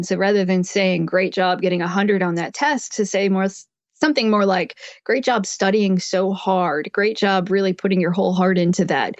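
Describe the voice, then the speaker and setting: A woman with a mid-range voice speaks as a podcast guest, explaining advice conversationally and in a measured way. The sound is dry, with no room to it, and slightly lo-fi. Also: mid-range voice, no echo. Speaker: podcast guest, psychotherapist and author.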